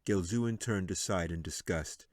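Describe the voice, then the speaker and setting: Male audiobook narrator: deep pitch, solemn dramatic storytelling, measured delivery, dry acoustics. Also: a little mellow, dry recording. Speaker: author reading his audiobook.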